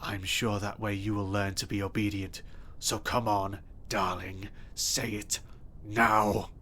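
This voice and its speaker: A man playing an obsessive, possessive stalker in an audio roleplay, speaking softly and intimately with a threatening edge. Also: on the low side, little pitch movement, takes it slowly, a little hiss or hum. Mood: cold menace. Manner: soft, intimate and menacing, bursting into threats. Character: obsessive, possessive male stalker kidnapper, adult.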